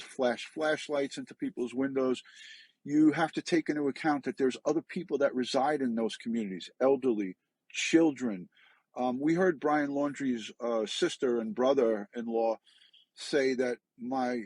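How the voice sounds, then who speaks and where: A man's voice: mid-range voice, slightly rough, dry recording. A middle-aged man, a retired law enforcement officer, giving earnest, emphatic and disapproving opinion commentary.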